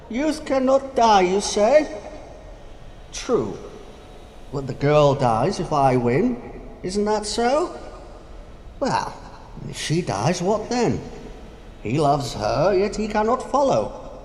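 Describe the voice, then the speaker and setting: An actor playing Death personified as a sly, taunting figure with grandiose, mocking declamation in a stage-like audio drama. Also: male voice, high voice, sing-song, slow, pauses often, darkish tone, full character voice. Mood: gloating, taunting. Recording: echoey hall, faint noise.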